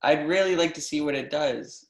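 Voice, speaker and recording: A man's voice: a little high, normal speed, close to an everyday voice. A man playing an eager, wide-eyed young mathematician student who questions insistently, in a readers theatre stage reading. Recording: roomy, echoing sound.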